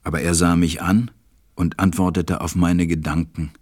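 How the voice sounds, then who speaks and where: A man playing a grave, weary stranded pilot who narrates a German radio play in a measured, husky, deep voice. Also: little pitch movement, a little mellow. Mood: grave, reflective.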